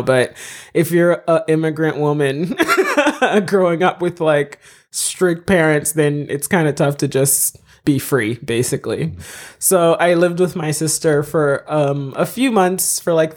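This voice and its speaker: A woman's voice: deep voice, a little mellow. A woman in her thirties from Haiti, a TV comedy writer and stand-up comedian, telling her story in a casual podcast interview and laughing often.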